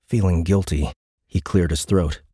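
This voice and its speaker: Very low-voiced male audiobook narrator. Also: fairly even intonation, a little slow, dark tone, slightly rough, no room sound, studio quality. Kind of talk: scripted dramatic narration.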